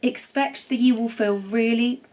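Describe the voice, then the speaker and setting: A woman's voice: fairly high pitch, steady tone, a little slow, a little mellow. A young woman talking casually to camera at home, a bit stuffed-up after nose surgery, chatty and reassuring with lots of ums. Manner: chatty, reassuring, many ums and self-corrections. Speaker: vlogger. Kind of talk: casual recovery vlog to camera.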